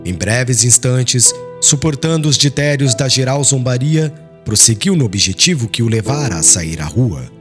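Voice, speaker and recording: A man reading an audiobook as dramatic literary narration, in a solemn, formal and expressive manner. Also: mid-range voice, normal speed, few pauses. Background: background music.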